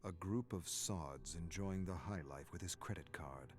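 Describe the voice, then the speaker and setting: A man reading a dramatic scripted story in a measured, brooding way, in short clipped phrases. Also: deep voice. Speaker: narrator.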